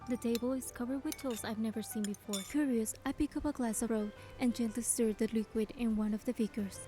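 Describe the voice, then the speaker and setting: Here is a woman playing a young heroine narrating a romance game in first person. Her voice is on the high side and very mellow, with a gentle, measured manner. Quiet music plays behind. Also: fairly even intonation, close to an everyday voice.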